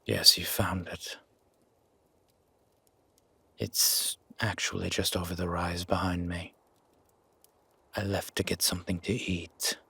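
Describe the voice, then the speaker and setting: A man playing a gruff, wary but grudgingly kind werewolf in a roleplay audio drama, with a raspy, growling, half-whispered voice and terse, brooding delivery. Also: sits low, little pitch movement, bright, forceful tone, no room sound, exaggerated voice. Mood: calm, confiding. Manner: slow, brooding, terse commands.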